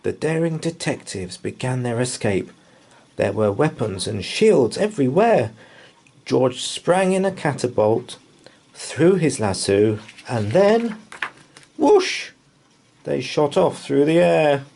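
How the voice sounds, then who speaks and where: Man, bedtime storyteller, dramatic rhythmic verse, unhurried pace, husky tone. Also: medium pitch, sing-song, a little mellow. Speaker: storybook reader. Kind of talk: theatrical bedtime story read-aloud.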